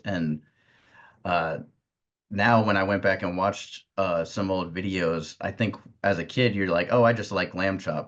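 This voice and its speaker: Man, American accent, low voice, muffled lo-fi sound, casual interviewer, halting phrasing. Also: a bit bright. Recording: dull, band-limited sound.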